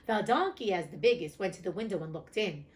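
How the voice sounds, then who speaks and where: A woman reads an old fairy tale aloud with a lively, swinging pitch. She keeps stopping for chatty, irreverent asides, tossing in casual swearing along the way. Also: brisk. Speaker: host reading classic stories. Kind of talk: storybook reading with casual asides.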